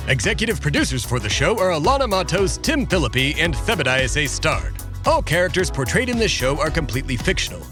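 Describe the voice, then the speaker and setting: Pompous male podcast host played by an actor, theatrical, formal, animated pitch, comedic audio drama, music behind. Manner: grandiose, formal wording.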